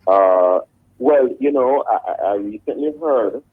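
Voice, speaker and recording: A man's voice: medium pitch, lively intonation, measured pace, no room sound, narrow, tinny audio. A male radio morning show host, interviewing a guest politely and formally, calling him sir and restarting his sentences often. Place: radio studio.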